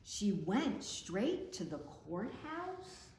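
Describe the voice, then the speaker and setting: A woman reading picture books aloud for children in an expressive, deliberate storytelling delivery, recorded in a roomy space. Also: mid-range voice. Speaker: children's librarian, storytime reader. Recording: roomy echo with faint background noise.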